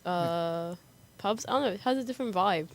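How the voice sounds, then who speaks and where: A woman's voice: lowish voice, moderate pace. A woman chatting casually as a radio guest, in informal, tentative, pieced-together phrases. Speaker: radio guest and amateur actress. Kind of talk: casual chat.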